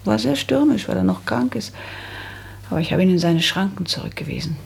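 An actress playing a prim, practical and resolute young woman in a German radio drama, speaking firmly with a husky, creaky voice. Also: mid-range voice, lively intonation, brisk, a little hiss or hum. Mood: indignant, determined. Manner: firm, matter-of-fact.

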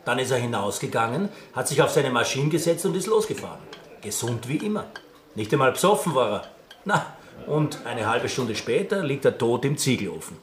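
A man's voice: medium pitch, big pitch swings, a little fast, reverberant, lightly acted. An actor playing a brash, suspicious village man with a blunt, challenging manner in a radio drama. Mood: insistent, suspicious. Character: brash, coarse, suspicious male village bully.

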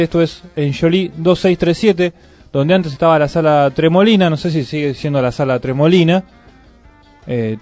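An Argentine man hosts a small community radio program, his voice sitting a bit high for a man. He reads gig listings and chats with his co-hosts in a loose, relaxed way. Faint music plays underneath. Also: dry recording. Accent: Argentine Spanish. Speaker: radio host. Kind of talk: casual community radio chat.

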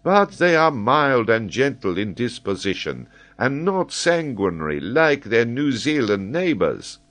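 A man voicing an excitable, learned French geographer, speaking eagerly and emphatically as he explains. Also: medium pitch, unhurried, voice pushed up. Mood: lecturing. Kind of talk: audiobook character voice.

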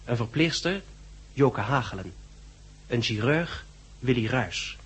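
A man reading formal, scripted credits as a radio drama announcer in a measured manner. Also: mid-range voice, expressive, a bit bright, breathy edge, poor audio. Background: faint music.

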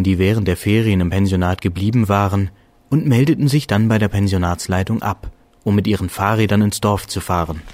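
Ein Mann als Erzähler eines Hörspiels, der wie aus einem Geschichtenbuch vorträgt. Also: male voice, lowish voice, darkish tone, lightly acted. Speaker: narrator. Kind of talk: scripted storybook narration for audio drama.

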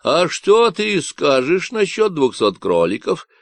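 Male narrator playing unhinged Texan on a walkie-talkie: nasal drawl, slangy, taunting, animated pitch. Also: dry recording, full character voice. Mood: mocking. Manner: slow, mocking slang talk.

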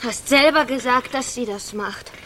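A woman's voice: fairly high pitch, fairly bright tone, slight background noise, close to an everyday voice. An actress playing a timid, poor young pregnant woman in a radio drama, pleading plaintively through labour. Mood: pained, pleading. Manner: slow, plaintive pleading with groans.

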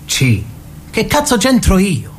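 A man's voice: high-pitched, wildly swooping pitch, slow pace, a little hiss or hum, exaggerated voice. An actor playing a blunt, wary, exasperated mob henchman with a southern Italian Calabrian accent in a theatrical radio drama. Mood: incredulous. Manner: blunt, incredulous, swearing.

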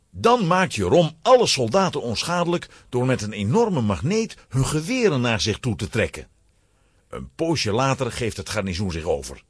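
Casting: man as children's story narrator, raspy, extremely expressive scripted delivery. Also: medium pitch, dry recording, studio quality.

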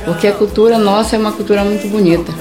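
A woman's voice: medium pitch, steady tone, very fast. A middle-aged woman with a northern Brazilian accent from Pará, speaking plainly and spontaneously as a radio interview guest, searching for words at times. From Brazil, quilombola community near Santarém in Pará. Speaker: singer-songwriter, interview guest. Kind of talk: spontaneous personal interview talk. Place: audio studio, for a radio programme. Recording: roomy studio sound, music behind.

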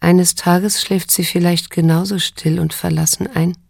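An actress playing a wounded yet restrained middle-aged daughter in a radio drama, speaking in a measured, sober, pointed way. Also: female voice, fairly low pitch, steady tone, vocal fry, darkish tone, no echo, studio quality. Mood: pensive, tender. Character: probing, reproachful daughter in her late fifties, wounded yet restrained. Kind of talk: German radio drama acting and narration.

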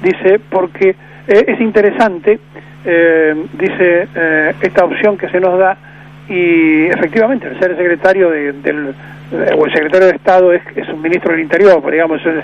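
Argentine male radio host, high voice, rambling with restarts, muffled AM radio with faint hiss. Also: normal speed. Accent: Argentine Spanish. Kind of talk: radio interview chat. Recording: dull lo-fi AM radio broadcast with faint noise.

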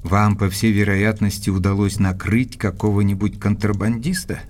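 An actor playing a courteous, inquisitive English gentleman doctor in an audio drama, speaking in a measured, polite, articulate way. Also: on the low side, fairly even intonation, close to an everyday voice. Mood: curious. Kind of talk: audio drama dialogue and narration.

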